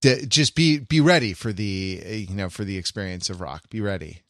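A man's voice: on the low side, moderate pace, a bit bright, dry recording. Wry man, very dry close sound. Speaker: podcast host. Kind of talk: casual chat, moderating a panel.

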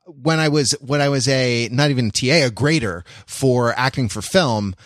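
Male podcast co-host, American, average pitch. Jokey, digressive, casual. Very dry recording. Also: normal speed, a bit bright, no room sound. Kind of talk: casual chat.

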